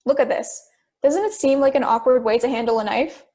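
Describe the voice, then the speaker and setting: Persistent juror, acted by a woman, high voice, logical, pointed questioner, amateur audio drama. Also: a little fast, dark tone, close to an everyday voice. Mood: pressing. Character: persistent, logical juror who challenges the others.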